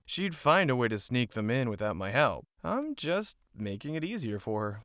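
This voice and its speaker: A roguish male petty crook in an audio drama , played by a man. His mid-pitched voice moves up and down a lot. He sounds cocky, wry and streetwise, recorded dry and close. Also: very animated, normal speed, fairly bright tone, dry recording. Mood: cheeky.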